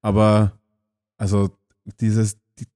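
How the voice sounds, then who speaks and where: A man's voice: on the low side, completely flat delivery, takes it slowly, warm tone, smooth, no echo, studio quality. A man with an Austrian accent chatting casually as a podcast co-host, blunt and slangy in the way he talks. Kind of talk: casual chat.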